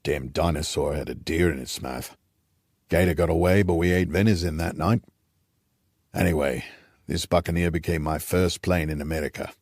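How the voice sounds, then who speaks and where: Man playing a deep-voiced old Rhodesian patriarch. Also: steady tone, normal speed, darkish tone, no echo, clean studio sound. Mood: wry reminiscing. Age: older. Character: aging gruff Rhodesian patriarch, old scout, wry and nostalgic.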